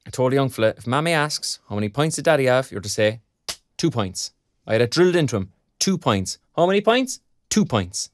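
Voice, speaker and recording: A younger Irish man played by an actor in an audio drama, a good-natured, put-upon dad. His pitch moves around a lot as he chats in a colloquial way. The sound is close and dry. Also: fairly quick, no room sound, close to an everyday voice. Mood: rueful, animated. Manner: colloquial, animated.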